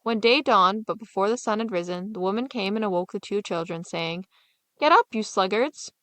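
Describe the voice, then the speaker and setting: A woman's voice: mid-range voice, fairly quick, a bit bright, dry recording. A woman storyteller reading a scripted fairy tale as narration.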